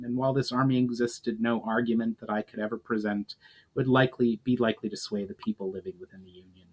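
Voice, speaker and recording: Male reader as fantasy war leader: weary, principled, earnest, deliberate, commanding. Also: mid-range voice, lightly acted.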